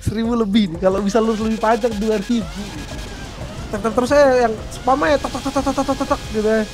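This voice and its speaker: A man's voice: extremely high pitch, fairly even intonation, fast talker. A man in casual gameplay banter, giving slangy, repetitive instructions with little vocal sound effects. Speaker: gaming companion. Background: music.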